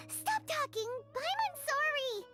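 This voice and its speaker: A tiny floating fairy girl from a video game, squeaky and extremely high. She is excitable and childlike, with lively swings in pitch and an unhurried pace, over loud music. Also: exaggerated voice. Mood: flustered. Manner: speaks of herself in third person, expressive. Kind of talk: video game character acting.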